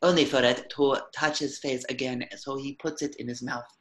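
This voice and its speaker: Bright, crisp-edged male script narrator. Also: medium pitch, steady tone, normal speed. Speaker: narrator reading stage directions. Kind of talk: scripted narration, cartoon table reading.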